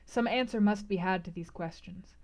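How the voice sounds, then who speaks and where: A woman's voice: medium pitch, normal speed, darkish tone. A woman reading literary prose aloud as a book club host, with theatrical, emphatic phrasing. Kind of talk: dramatic audiobook-style literary narration.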